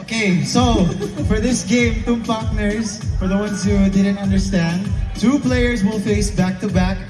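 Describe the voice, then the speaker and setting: A young man from the Philippines, translating the game rules for the crowd slowly and carefully. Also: sits high, steady tone. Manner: slow, careful explaining. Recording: echoey live sound from far off, crowd and music.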